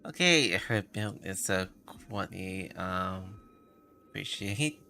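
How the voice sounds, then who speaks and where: A man chatting casually on a gaming livestream in a halting, meandering way. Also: low voice, animated, gravelly, noisy background. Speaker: live streamer. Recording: game music behind the voice. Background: video game music.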